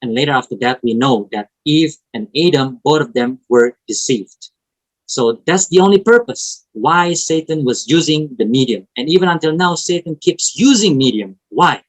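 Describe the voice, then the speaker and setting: A man with an Indonesian accent, a native Indonesian speaker, teaching a Bible lesson to an online fellowship in a halting way, with restarts and frequent "right?". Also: fairly high pitch, animated, rough recording. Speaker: preacher.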